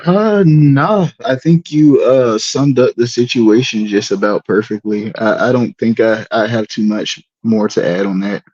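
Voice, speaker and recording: Casting: an unhurried, easygoing male co-host who laughs a lot, slightly dull dry recording. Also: medium pitch, darkish tone, no room sound. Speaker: podcast co-host. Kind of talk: casual chat.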